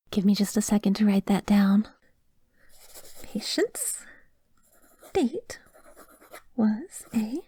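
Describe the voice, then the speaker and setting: Casting: actress as obsessive, doting female therapist for ASMR roleplay; mellow, breathy, coaxing delivery, close dry mic. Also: mid-range voice, dry recording. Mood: quietly bitter. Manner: soothing, coaxing, often whispery. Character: doting, obsessive woman therapist, soothing and possessive. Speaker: audio roleplay performer. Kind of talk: soft-spoken ASMR roleplay. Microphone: close microphone, dry.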